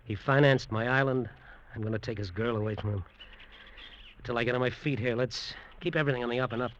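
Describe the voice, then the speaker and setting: An actor plays a suspicious, hot-tempered tough guy from a vintage radio adventure. His voice sits at a middle pitch. The sound is muffled and old. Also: fast, a little hiss or hum, close to an everyday voice. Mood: uneasy. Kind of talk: 1940s-50s radio drama acting, first-person narration.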